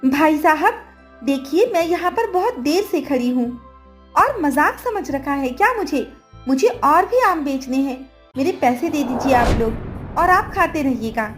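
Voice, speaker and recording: A woman plays a young mango seller in a cartoon horror tale. Her voice is high and swings widely in pitch, with emphatic, dramatic delivery. Music plays strongly behind her. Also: animated, unhurried. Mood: annoyed, demanding. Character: young woman mango seller, pleading then defiant daughter-in-law. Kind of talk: Hindi cartoon horror story acting.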